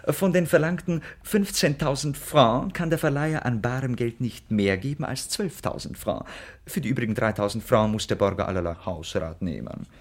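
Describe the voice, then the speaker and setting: An actor playing a wry, cheeky valet in a classic German radio comedy, with a theatrical, mock-formal delivery. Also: male voice, mid-range voice, moderate pace, lightly acted.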